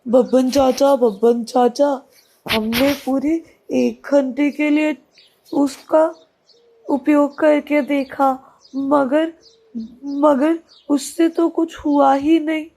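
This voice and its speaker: A woman's voice: sits high, steady tone, slow pace, mellow, voice pushed up, poor audio. A woman voicing an anxious little boy in a cartoon story, pleading insistently and repeating himself. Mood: worried pleading.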